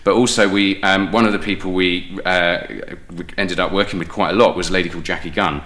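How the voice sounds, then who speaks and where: A man's voice: low-pitched, steady tone, quick pace, fairly bright tone. A man with a southern English accent chatting casually as an interview guest, telling personal stories in a self-deprecating way. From England. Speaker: guest playwright.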